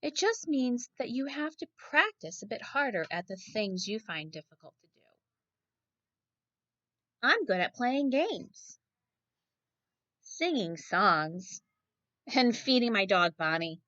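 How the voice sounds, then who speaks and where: Animated woman reading a children's story aloud. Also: on the high side, slow pace, pauses often, dry recording, lo-fi sound. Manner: expressive, addresses young listeners directly with questions. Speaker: teacher reading a picture book aloud to children. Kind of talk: children's story read-aloud with asides to listeners.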